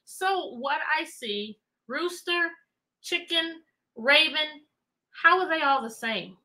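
Bright, high-voiced American woman teacher. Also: takes it slowly. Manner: animated, repeats phrases and questions to engage listeners. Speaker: teacher, children's read-aloud host. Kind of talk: chatty interactive livestream for children. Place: indoor room with bookshelves.

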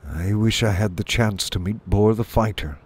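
Man as a soft, wistful mouse. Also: on the low side, normal speed, husky. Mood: wistful, admiring. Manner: soft, sighing, earnest. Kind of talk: storybook character voice.

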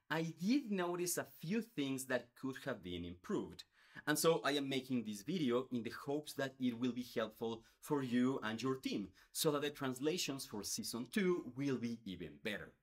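A man in his thirties from Mexico, a Spanish speaker, earnestly explaining to the camera with lots of hand gestures. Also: fairly high pitch. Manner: earnest, animated, gestures a lot with his hands. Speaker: YouTuber. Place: indoors, in front of a space backdrop.

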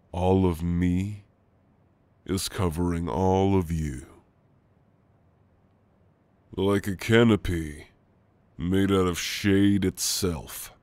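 A man playing a gentle, teasing sleep paralysis shadow demon in an intimate ASMR roleplay, speaking in a soft, halting, reassuring way. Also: low-pitched, no room sound, heavily acted. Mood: soothing. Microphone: close, dry microphone.

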